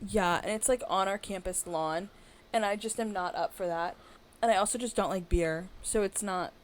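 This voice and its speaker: A woman in her twenties co-hosting a podcast, chatting in a rambling, animated way, her voice raspy today. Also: medium pitch, normal speed. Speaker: podcast co-host. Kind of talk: casual chat.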